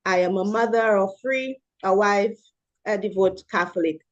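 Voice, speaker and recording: A woman's voice: mid-range voice, moderate pace. A woman from Dominica, an elementary school principal, introduces herself in a formal way. Her voice comes through dull, lo-fi audio.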